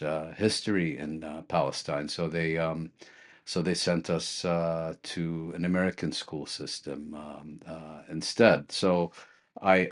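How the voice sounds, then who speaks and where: A man from the Netherlands, a guest analyst answering interview questions in a measured, hesitant way with many restarts. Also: very deep voice.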